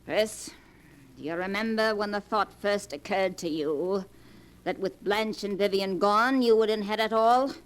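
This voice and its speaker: An actress playing an elderly, coolly mocking, vengeful grandmother in 1940s radio style, deliberate and sardonic. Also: mid-range voice, lightly acted, poor audio. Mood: cool, accusing.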